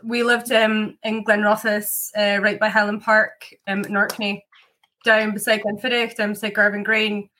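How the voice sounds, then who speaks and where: Bright-voiced chatty woman from Scotland. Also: mid-range voice, little pitch movement, normal speed, slight background noise. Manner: chatty, lots of "like" fillers, laughs often. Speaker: whiskey maker and distiller. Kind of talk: casual interview chat.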